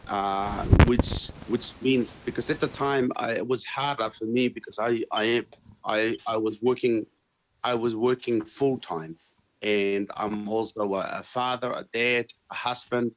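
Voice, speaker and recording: A middle-aged man from Tonga with a Tongan accent, English being his second language, answering interview questions in an earnest, halting way that restarts and repeats phrases. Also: medium pitch, fairly even intonation. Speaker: interviewee sharing his university experience. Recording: dull lo-fi recording.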